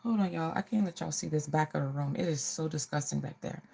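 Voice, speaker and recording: A woman's voice: low voice, fast talker, gravelly, dark tone, slightly rough. A middle-aged woman hosting a casual get-ready livestream, chatting to viewers and often repeating her phrases.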